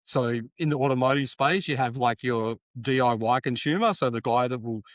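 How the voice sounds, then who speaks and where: A man's voice: medium pitch, moderate pace, no echo, studio quality. Broad-accented Australian man, upbeat. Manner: upbeat, plainspoken, uses Aussie slang. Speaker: guest, sponsorship sales business owner. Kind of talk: casual interview talk.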